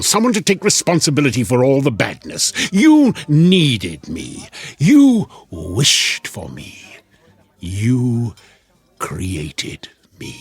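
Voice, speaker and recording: An actor playing a sardonic, chatty devil in an audio drama, theatrical and mocking, with a husky voice. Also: male voice, fairly high pitch, no echo, heavily acted. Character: sardonic, wheedling male devil, casual and mocking.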